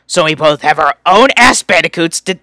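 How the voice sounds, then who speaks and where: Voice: medium pitch, expressive, normal speed, pushed and bright, no echo. A performer voicing an irritable, sarcastic young male troll from a webcomic, scornful and exasperated. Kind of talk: reading fan voice lines from a webcomic.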